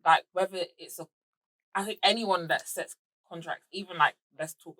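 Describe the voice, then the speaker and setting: A woman's voice: fairly low pitch, normal speed, sharp tone, poor audio. A woman co-hosting a podcast, talking casually as part of a panel discussion. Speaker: podcast co-host.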